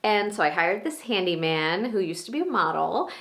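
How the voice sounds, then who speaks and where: A woman performing a comedic stage monologue as a bubbly, proud woman gushing excitedly about her achievements. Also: lowish voice, normal speed. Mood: gushing excitement. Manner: breathlessly excited, self-delighted, gushing.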